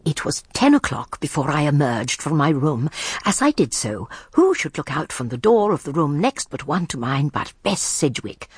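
An actress playing a genteel, observant old lady in a radio drama, prim, polite and measured. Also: low-pitched, sing-song, husky, no echo, heavily acted. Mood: quietly curious. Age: older. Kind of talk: BBC radio drama acting and narration.

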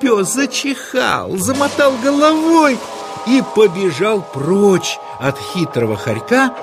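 Male storyteller for children's tales, theatrical, extremely swooping pitch, unhurried, music clearly behind. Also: sing-song. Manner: dramatic, theatrical delivery. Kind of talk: expressive children's story narration.

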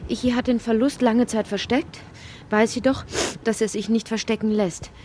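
An actress narrating a strange, inward literary radio drama in deliberate, short emphatic phrases. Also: female voice, on the high side, steady tone, dark tone, a little hiss or hum, natural voice. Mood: reflective. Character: woman narrator of a strange inward dreamlike tale.

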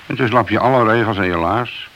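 A man's voice: fairly low pitch, fairly quick, fairly bright tone, dull audio, close to an everyday voice. A man playing a principled, long-serving police detective in a radio play, admonishing in a matter-of-fact way. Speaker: radio drama actor. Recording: hissy, noisy old radio recording.

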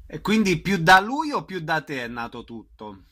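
A man hosting a radio arts program, announcing events and interviewing guests in a brisk way. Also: a little high, big pitch swings, a bit bright. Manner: brisk announcing, listing names and dates. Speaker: radio host.

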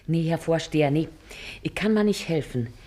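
Sly working-class mum in a radio play, blunt and folksy, acts simple. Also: female voice, deep voice, animated, normal speed, dark tone. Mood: respectful, insistent. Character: shrewd, plain-spoken working-class mother, feigning simplicity. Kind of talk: German stage-comedy radio drama acting.

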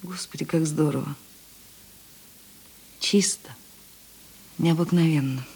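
A woman acting a sensitive, self-doubting theatre actress in a Russian radio play, breathy and hesitant. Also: low-pitched, takes it slowly, exaggerated voice, rough recording. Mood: tender, hushed. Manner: soft, hesitant, emotional.

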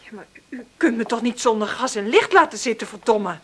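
An actress in a Dutch radio play playing a weary, struggling mother, husky and intimate. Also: a little high, expressive, fairly quick. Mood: flustered, defensive. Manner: intimate murmured monologue, gentle with her child. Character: weary, struggling mother confiding in her diary.

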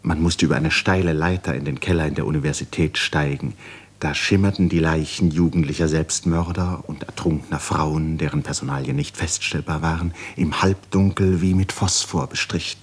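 Deep, husky male radio-play narrator. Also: fairly even intonation, moderate pace. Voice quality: breathy, husky. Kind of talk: literary narration in a 1950s German radio play.

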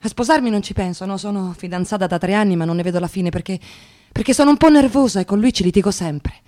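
An actress playing a nervous, tired young unemployed woman in a radio monologue, halting and agitated, repeating her words. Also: medium pitch, warm tone, lightly acted. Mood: weary, despairing. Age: young adult. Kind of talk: radio theatre dramatic monologue.

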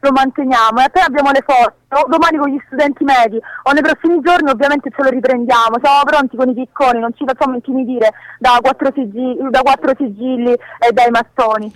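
A woman's voice: sits high, fairly even intonation, a little fast, hardly any pauses, dry recording, rough recording. A woman, an activist reporting spontaneously in an impassioned, emphatic way and repeating words for force. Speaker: activist giving a live report. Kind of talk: impassioned spontaneous phone-in interview.

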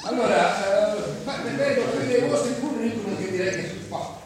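A man's voice: high-pitched, normal speed, close to an everyday voice. An actor playing a smug male job-agency recruiter, talking in corporate jargon and chuckling, in a live stage play captured from far off with echo and noise. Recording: distant echoey stage with noise.

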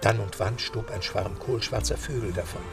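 A man's voice: lowish voice, normal speed, gravelly, over music. A man narrating a scripted audio drama as storyteller.

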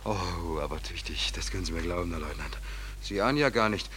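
A man's voice: low voice, a little fast, a bit bright. An actor playing an easygoing drifter in a 1940s German radio play, hesitant and polite with a mock-naive manner, repeating his words. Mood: hungry, content. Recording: noisy old 1940s radio recording.

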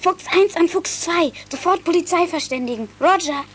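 A plucky child sleuth in a 1980s German radio play. The high voice sounds alert and urgent, with faint background noise. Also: female voice, normal speed. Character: alert, plucky child detective with walkie-talkie. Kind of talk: 1980s German youth radio drama acting.

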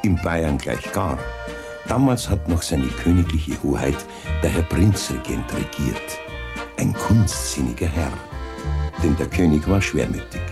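An actor playing a nostalgic storyteller with a Bavarian accent, narrating a radio play in a savouring, wry manner. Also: male voice, rumbling low, animated, unhurried, over music, full character voice. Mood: wistful, wry. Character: nostalgic, leisurely storyteller of old royal Bavaria.

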